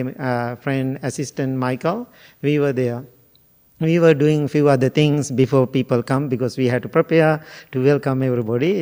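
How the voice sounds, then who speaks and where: Slow-speaking monk from Sri Lanka. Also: male voice, on the high side, a little mellow. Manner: slow, musing, jokes and asks the audience questions. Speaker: Buddhist monk teacher. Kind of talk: informal dharma talk to a group.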